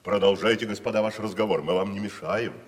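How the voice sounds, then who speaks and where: An actor playing a grandiose, declamatory wandering tragedian in a 1970s radio play. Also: male voice, fairly low pitch, fast, close to an everyday voice. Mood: mock-festive. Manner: theatrical, declamatory, mock-solemn. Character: grandiose wandering tragic actor, man, middle-aged. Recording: reverberant studio.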